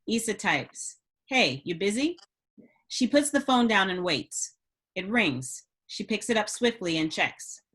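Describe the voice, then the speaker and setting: A woman's voice: medium pitch, very animated, measured pace, a bit bright, slightly rough. A woman narrating stage directions at a script table read.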